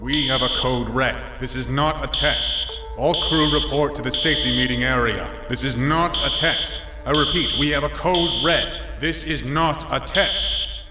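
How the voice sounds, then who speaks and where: An actor playing an authoritative oil rig chief in an audio drama, grave and commanding. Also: male voice, medium pitch, moderate pace, gravelly, very noisy, close to an everyday voice. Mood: stern, urgent. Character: commanding male oil rig chief briefing his crew. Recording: music under the voice.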